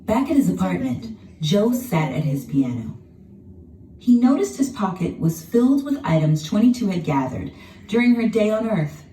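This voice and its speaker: A woman narrating a children's storybook in an expressive, dramatic scripted style. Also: medium pitch, moderate pace, a little hiss or hum. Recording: roomy, distant sound with music behind.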